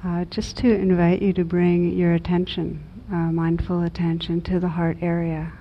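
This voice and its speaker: A woman leading a guided meditation as a teacher, gentle and measured, with long pauses between reflective phrases. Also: little pitch movement. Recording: dull muffled audio, low rumbling noise.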